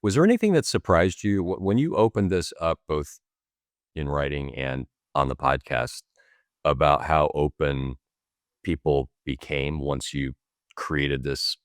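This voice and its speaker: A man's voice: rumbling low, unhurried, no echo, clean studio sound. A man hosting a podcast, asking long, winding questions and affirming his guest. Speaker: podcast host. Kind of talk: conversational interview questions.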